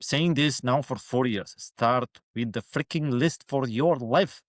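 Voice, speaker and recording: A man's voice: medium pitch, a little slow, no room sound, clean studio sound. A man being interviewed as a guest on a casual podcast, giving earnest motivational advice with lots of fillers.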